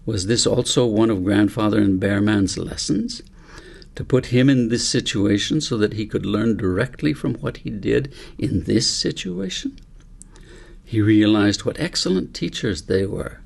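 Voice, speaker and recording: Guy reading a storybook out loud, gravelly and a bit raspy, measured and thoughtful. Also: fairly low pitch. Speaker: author reading own book aloud. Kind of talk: audiobook narration of a story.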